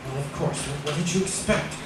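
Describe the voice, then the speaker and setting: A woman playing a nervous, determined husband in an old-time radio drama, halting and urgent, repeating words. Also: low-pitched, lightly acted. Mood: urgent, coaxing. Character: nervous, determined husband turned amateur housebreaker. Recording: very echoey, distant, loud background noise.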